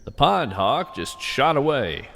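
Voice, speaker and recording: A man's voice: mid-range voice, very animated, takes it slowly, creaky, fairly bright tone, slight background noise, heavily acted. A man playing a whimsical, earnest naturalist who muses with folksy wonder in a first-person field-journal audio drama. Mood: hushed wonder. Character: whimsical, earnest male naturalist who hunts strange cryptids. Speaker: podcast host and narrator.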